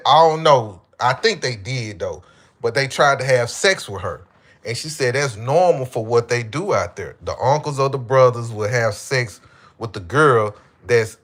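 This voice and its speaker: A man's voice: mid-range voice, a bit bright. Blunt American man, casual and slangy. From United States. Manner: blunt, heavy swearing and slang. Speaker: online commentator. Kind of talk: casual opinionated monologue.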